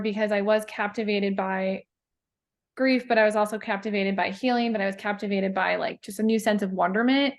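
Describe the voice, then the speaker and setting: A woman poet talking in a casual interview, thoughtful and halting, with many fillers and restarts. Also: mid-range voice. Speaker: guest poet.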